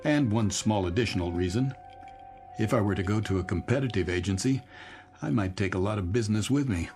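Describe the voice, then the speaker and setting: A man's voice: lowish voice, fairly quick, darkish tone, lightly acted. A man playing a weary, sardonic middle-aged executive husband who longs for escape, in a radio drama. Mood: sarcastic. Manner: sardonic, self-pitying. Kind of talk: modern radio drama acting. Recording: produced radio drama with background music.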